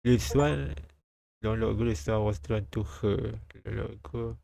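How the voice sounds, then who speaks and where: A man streaming a live read-aloud of a visual novel, halting and repeating lines as he goes. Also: on the low side, fairly even intonation, vocal fry, warm tone, no echo.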